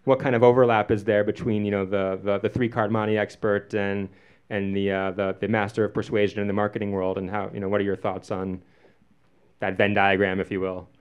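A man's voice: on the low side, flat delivery, a little fast, clear, resonant tone, muffled recording. A man in his forties moderating a live panel, putting casual questions into a stage microphone. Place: small dim event venue stage. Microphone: stand microphone.

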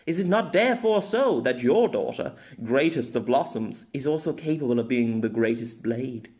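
A man's voice: mid-range voice, lively intonation, moderate pace, darkish tone, close to an everyday voice. A man voicing a young noblewoman who reads aloud in a formal, declamatory way in a fantasy story. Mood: solemn. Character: proud young noblewoman reading a flowery plea aloud.

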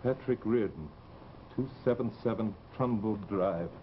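A man's voice: lowish voice, a little slow, lightly acted. A man in his thirties playing a polite, earnest young man carefully probing for information in a vintage television drama, speaking hesitantly. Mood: determined. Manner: hesitant, courteous, many uhs. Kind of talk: 1950s television drama acting. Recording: dull, noisy old TV soundtrack.

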